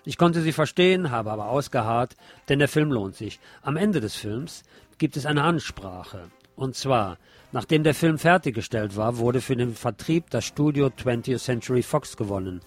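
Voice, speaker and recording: A man's voice: mid-range voice, expressive, moderate pace, dry recording. A man reading scripted film commentary as host of an alternative radio programme.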